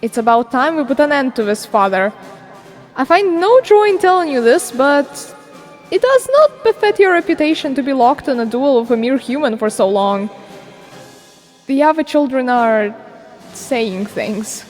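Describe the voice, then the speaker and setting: A woman plays a blunt, dutiful vampire daughter in a fantasy game dub. The voice is fairly high, the wording formal and drily sardonic, with music underneath. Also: very animated, normal speed. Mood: exasperated. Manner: formal, dry, sardonic diction. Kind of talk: dramatic game fandub acting.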